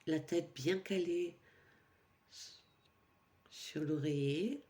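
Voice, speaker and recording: A woman yoga nidra teacher leads a sleep meditation in a calm, soothing way. Her voice sits a little low, with a dark, relaxed tone. She takes her time, giving instructions very slowly and pausing between them.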